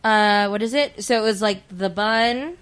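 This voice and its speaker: A woman's voice: medium pitch, a little slow, fairly bright tone, clean tone. An American woman co-hosting a podcast in casual, unscripted conversation. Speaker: podcast co-host. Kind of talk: casual chat.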